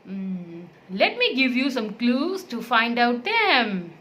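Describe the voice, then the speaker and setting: A woman's voice: fairly high pitch, very animated, takes it slowly, a bit bright. A woman presenting a children's puppet show in a teacherly, encouraging, sing-song storytelling manner. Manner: sing-song, asks guessing questions, encouraging.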